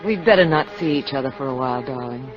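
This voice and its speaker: Cool scheming femme fatale, old radio. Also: female voice, a bass voice, fast talker, over music. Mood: anxious, intimate. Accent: American. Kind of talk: 1950s radio drama acting. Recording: dull, noisy old radio broadcast.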